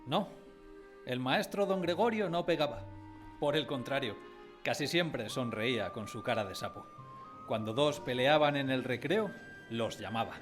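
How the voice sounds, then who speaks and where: A man playing a narrator who fondly recalls his village schooldays, in expressive scripted radio drama storytelling. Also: mid-range voice, measured pace, faint music behind, natural voice. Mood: reminiscent.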